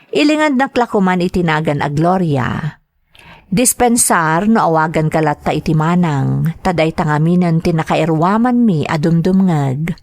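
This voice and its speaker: A woman's voice: lowish voice, a little mellow, no echo. A woman with a Filipino accent hosting a radio show, reading out greetings and listeners' letters. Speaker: radio host and letter reader.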